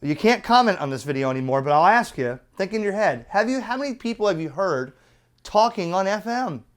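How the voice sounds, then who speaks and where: A man's voice: high-pitched, big pitch swings, a little fast. A middle-aged man giving a casual product review in a chatty, opinionated, colloquial way. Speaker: radio reviewer.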